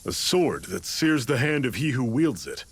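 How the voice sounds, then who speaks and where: A wry, composed rebel leader. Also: male voice, mid-range voice, husky. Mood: calm. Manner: calm, measured, wry. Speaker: resistance leader. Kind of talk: video game voice acting. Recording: game audio with faint music.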